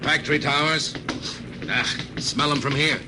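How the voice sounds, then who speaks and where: A man's voice: a little high, steady tone, unhurried, over music, natural voice. An actor playing a gritty, wistful spaceman narrator in a hard-boiled 1950s radio drama. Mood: gruff, blunt. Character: weathered spaceman narrator, gritty, wistful old shipmate of a singer. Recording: old 1950s radio broadcast, noisy.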